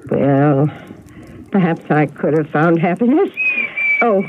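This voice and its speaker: An actress playing a genteel, agitated older spinster telling family secrets in a radio mystery drama. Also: female voice, low-pitched, lively intonation, slow. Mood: wistful, confiding. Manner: fretful, genteel, dramatic storytelling. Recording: narrow, noisy old radio broadcast recording.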